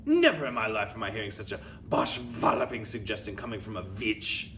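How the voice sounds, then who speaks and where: A man's voice: medium pitch, big pitch swings, moderate pace, a bit bright, recorded from a distance, a little hiss or hum. Storybook villain: tyrannical shrieking witch queen, a man putting her on, menacing, wildly swinging delivery. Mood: commanding. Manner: thundering, menacing, odd foreign phrasing.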